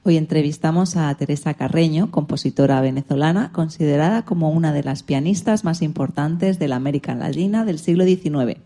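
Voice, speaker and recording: A woman's voice: deep voice, brisk, few pauses, dark tone. A woman hosting a radio program, presenting from a script.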